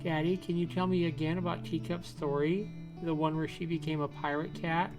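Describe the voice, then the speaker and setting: A man's voice: fairly high pitch, fairly even intonation, moderate pace, voice pushed up, natural voice. A man voicing a sad, curious little boy who asks his father innocent questions, reading visual novel dialogue. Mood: eager. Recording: music behind the voice. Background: guitar music.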